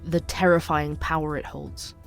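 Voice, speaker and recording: A man's voice: a little high, flat delivery, normal speed, gravelly, fairly bright tone, faint music behind. An actor playing an earnest, curious young man who hosts an amateur podcast, narrating an audio drama in a musing way. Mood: brooding, poetic.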